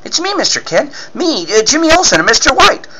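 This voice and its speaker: A man's voice: very high voice, big pitch swings, a little fast, bright, forceful tone, voice pushed up, exaggerated voice, rough recording. A man voicing an earnest, pleading boy cub reporter in the manner of a 1940s radio serial. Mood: anxious hope. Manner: gushing, pleading exclamations.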